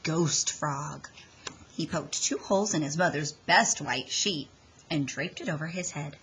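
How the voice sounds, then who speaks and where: A woman reading a children's story aloud in an expressive, dramatic way, leaning on the sound words. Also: sits low, normal speed, a little hiss or hum, low-quality recording.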